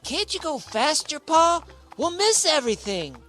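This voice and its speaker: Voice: sits high, very animated, measured pace, over music. A performer playing an eager, impatient little farm boy in a radio drama, whining and pleading. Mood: impatient, excited.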